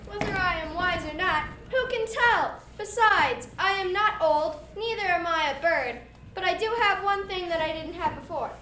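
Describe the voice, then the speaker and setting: A woman's voice: very high voice, normal speed, pushed and bright. A woman playing a boastful, cunning fox in a children's stage fable, speaking in a smug, rhetorical way. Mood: gloating. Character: boastful, cunning fox who swindles proud birds. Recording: distant, echoey room with background noise.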